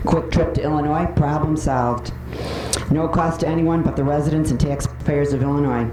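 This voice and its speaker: A woman delivers a prepared public comment with an earnest, opinionated manner. She is picked up from a distance in an echoey meeting room, with clear noise in the recording. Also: deep voice, normal speed. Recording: roomy, noisy, distant microphone with clicks.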